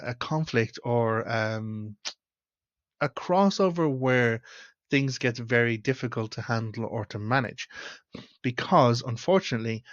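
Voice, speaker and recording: An Irish man in his thirties talks straight into a webcam at home, reflective and candid, often pausing on "um" and "like". His voice sits at an ordinary pitch, and the sound is dry and a little dull, as cheap desk-mic audio often is. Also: dry recording. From Ireland. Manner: candid, reflective storytelling with frequent fillers. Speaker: host. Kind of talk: casual talk to camera. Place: small room at home, indoors.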